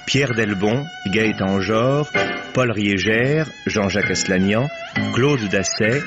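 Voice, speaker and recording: A male radio announcer reading the credits of a 1950s drama in a formal, measured way. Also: lowish voice. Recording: dull old radio broadcast over loud music.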